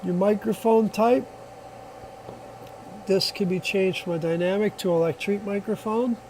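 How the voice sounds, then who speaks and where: A man's voice: high voice, fairly even intonation, measured pace, darkish tone, slight background noise, rough recording. A man giving a casual hands-on product demonstration in a plainspoken, folksy, reassuring way, recorded on a phone.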